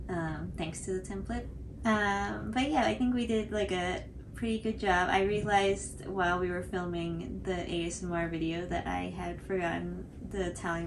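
A woman, chatting casually to camera in a hesitant, self-deprecating way. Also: on the low side. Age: 20s. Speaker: cosplay channel host. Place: small room indoors on a couch. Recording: clearly audible background noise.

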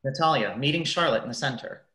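A woman's voice: very deep voice, animated, fast talker, a bit bright, roomy sound, low-quality recording. A woman narrating a radio play, reading out scripted stage directions.

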